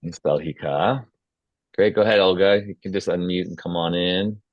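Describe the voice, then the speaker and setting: A man's voice: deep voice, normal speed, no echo, dull audio. A man speaking as host and narrator of an informal script table read. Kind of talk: stage-direction reading and casual feedback discussion.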